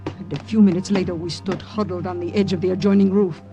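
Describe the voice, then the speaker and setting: A woman's voice: fairly low pitch, steady tone, dark tone, highs cut off, faint music behind, close to an everyday voice. An actress playing a devoted, anxious wartime woman agent in an earnest, dramatic old-time radio style. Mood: grave recollection. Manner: earnest, dramatic, deliberate phrasing. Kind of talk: 1940s-50s radio drama acting.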